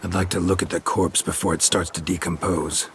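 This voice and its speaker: A man voicing a gruff, stoic monster hunter in a video game, speaking in terse, deadpan, clipped phrases. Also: sits low, a little fast, a little hiss or hum, lightly acted. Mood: terse, businesslike. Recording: music behind the voice. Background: music.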